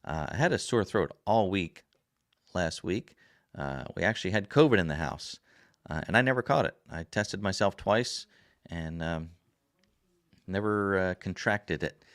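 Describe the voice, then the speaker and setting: A man with a very deep, raspy voice chats in a folksy, rambling way as he reads viewer comments on his livestream. The sound is dry and close, with no room echo, as if he is sitting right at his desk mic. His throat is rough from a recent sore throat, and he fills the pauses with easy "uh"s and "um"s. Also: animated, a bit bright. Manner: rambling and folksy, many fillers. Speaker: livestream host. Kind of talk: casual chat reading viewer comments. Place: home desk. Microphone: desk camera.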